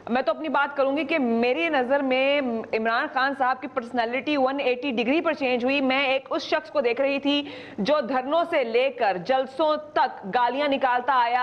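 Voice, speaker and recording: High-voiced Pakistani woman hosting political talk. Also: lo-fi sound. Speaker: talk show host. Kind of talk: political TV discussion.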